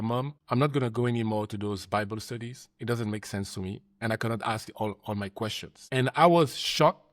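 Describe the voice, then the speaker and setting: Male host from Cameroon, earnest, mid pitch, dry close recording. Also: no echo. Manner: earnest, drops low and soft at ends of phrases. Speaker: podcast host and life coach. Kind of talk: interview conversation.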